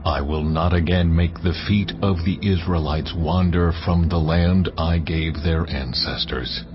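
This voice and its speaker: A man's voice: deep voice, fairly even intonation, noisy background. A man voicing God, solemn and stern. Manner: slow, weighty proclamation. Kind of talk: dramatized audio Bible. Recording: dull lo-fi audio over music.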